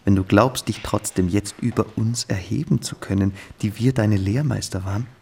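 An actor playing a menacing, condescending teenage boarding-school bully in a radio play, speaking in a measured, superior way. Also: male voice, fairly low pitch, gravelly, warm tone, slightly rough. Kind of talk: German radio play acting.

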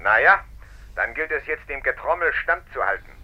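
A man's voice: mid-range voice, lively intonation, normal speed, lightly acted. A man playing an evasive, patronising prime minister in a 1950s German radio drama, speaking pompously and condescendingly. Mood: unruffled, patronising. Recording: dull band-limited vintage radio sound.

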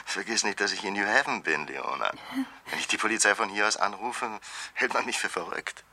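An actor playing a guilty, desperate husband in a 1970s German radio thriller, tense, urgent and insistent. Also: male voice, on the low side, animated, fairly quick, bright, forceful tone, exaggerated voice. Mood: evasive, dismissive. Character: guilty, desperate husband urging his wife.

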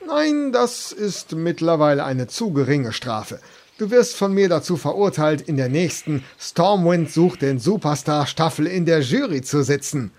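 A man's voice: a little high, animated, a little slow, a little mellow. An actor playing a stern, formal noble man passing sentence in a comedic radio play. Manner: solemn, formal. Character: stern noble male judge, Illidan's brother.

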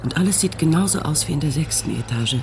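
An actor playing the narrator of a dark, surreal radio drama, with literary, incantatory, repetitive phrasing. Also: male voice, a little high, animated, fast talker, noisy background.

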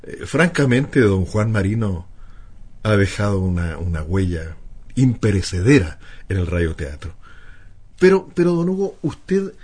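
A man's voice: fairly low pitch, very animated, a little slow, a little mellow, husky. A man co-hosting a radio show in a conversational, emphatic and formally polite manner.

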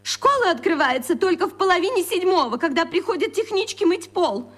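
A very high, theatrical voice of an overprotective mother in a children's radio play. She is pleading and alarmist, treating everything as a mortal danger. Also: big pitch swings, brisk, a bit bright, full character voice. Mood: alarmed indignation. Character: overprotective, panicky mother of a schoolboy.

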